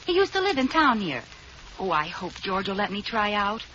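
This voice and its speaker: A woman's voice: mid-range voice, very animated, normal speed, bright, forceful tone. An actress playing a playful, scheming young wife in a 1940s radio sitcom, coaxing her husband. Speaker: lead actress. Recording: muffled old radio broadcast with hiss.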